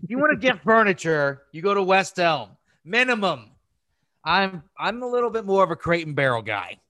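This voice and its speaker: A man's voice: sits high, big pitch swings, moderate pace, bright, forceful tone, no echo. A man chatting casually as a podcast co-host, bantering and swearing freely. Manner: profane, bantering. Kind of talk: casual chat.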